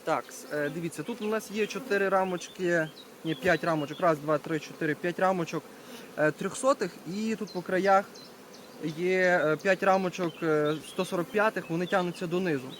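A man's voice: high-pitched, moderate pace, a bit bright. A man giving a casual hands-on demonstration as a beekeeper, talking to viewers while he works and repeating short phrases. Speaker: beekeeper presenting a video. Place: outdoors at an apiary. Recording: outdoor recording with buzzing bee noise. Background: buzzing bees and insects.

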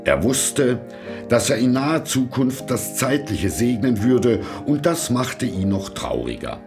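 A man narrating a fairy tale from a script in a measured, expressive storytelling manner. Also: medium pitch, over music.

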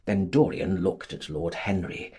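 A man narrating a literary audiobook in a theatrical, deliberate, scripted style, with a husky voice. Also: low voice, warm tone.

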